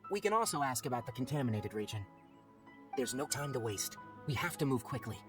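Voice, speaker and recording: A man's voice: medium pitch, big pitch swings, brisk, natural voice. A man voicing a businesslike young forest watcher in a video game, speaking in a matter-of-fact, curt way. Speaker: voice actor in game dialogue. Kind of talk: scripted video game voice acting. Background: game background music.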